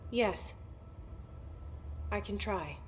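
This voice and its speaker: Terse, guarded young woman, mid-pitched. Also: drawn-out words, slight background noise. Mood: hesitant, sincere. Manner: terse, matter-of-fact, guarded. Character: composed, reserved young woman, determined newcomer leader. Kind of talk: video game cutscene dialogue.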